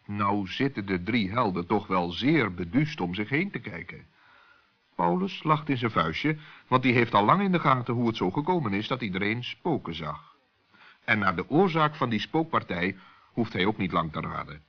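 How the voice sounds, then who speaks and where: A man narrating a children's story as an expressive storyteller. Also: lowish voice, normal speed. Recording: dull, lo-fi old recording.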